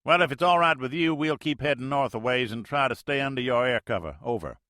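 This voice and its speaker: Man doing a loud, high, folksy Mississippi drawl for a ship's captain hailing the Navy; bright and dry. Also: brisk, no room sound, natural voice. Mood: folksy bluster. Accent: put-on Mississippi. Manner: boisterous, folksy, loud over the radio. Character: Soviet Army major faking a folksy Southern American drawl. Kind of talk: audiobook character voice.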